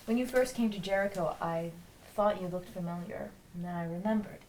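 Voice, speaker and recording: A woman playing a polite, flattering android with a sinister streak, speaking deliberately at a script rehearsal. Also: lowish voice, close to an everyday voice. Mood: smooth, probing. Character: smooth, flattering, secretly sinister female android admirer. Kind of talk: table read of a fan film script. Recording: noisy room recording.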